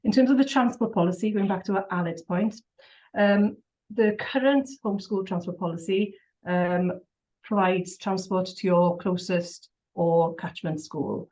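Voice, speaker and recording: A woman's voice: mid-range voice, steady tone, unhurried, darkish tone, poor audio. A woman on a council answering questions at a meeting off the cuff, hesitant, with many fillers and repeated words. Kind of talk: unscripted reply at a council meeting.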